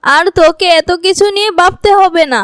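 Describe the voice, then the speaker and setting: A woman's voice: very high-pitched, a little fast, a bit bright, no room sound, clean studio sound. A woman voicing a wicked, imperious, murderous queen in a cartoon fairy tale. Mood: stern.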